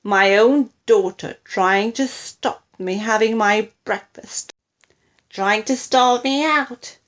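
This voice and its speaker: Storybook grandma: old, horrid, bullying, loud and accusing, played by a woman. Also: a little high, slow pace. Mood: angry, demanding. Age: older. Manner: shouting, scolding, accusing.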